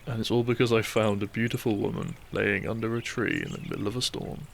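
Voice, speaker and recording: Man acting, loving doctor groom, deep-ish gravelly voice, polite, romantic audio drama, noisy background. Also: fairly even intonation, fast. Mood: tender, earnest. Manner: tender, polite, earnest. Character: devoted, tender bridegroom, a gentleman doctor.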